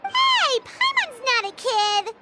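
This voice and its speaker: A woman voicing a tiny childlike fairy companion in a video game, chirpy, curious and excitable, with a squeaky voice. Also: takes it slowly, over loud music, heavily acted. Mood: excited, indignant. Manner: excitable, speaks of herself in third person.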